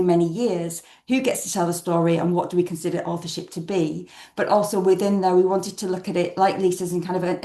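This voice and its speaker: A woman's voice: fairly low pitch, dark tone. A woman presenting her creative work as an arts director in an online talk. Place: indoor room on a video call. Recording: roomy, echoing online call.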